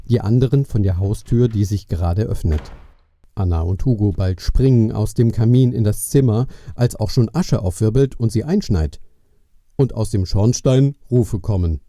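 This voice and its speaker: A man's voice: lowish voice, moderate pace, warm tone. A man narrating a scripted children's story as the storyteller of an audio play.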